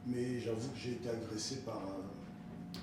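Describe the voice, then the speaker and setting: A man from the Republic of the Congo, a guest giving interview answers, talking in a measured, corrective way that gains force when he argues. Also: fairly low pitch, steady tone. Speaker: guest, historiographer and writer. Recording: echoey room, mic far off, faint noise.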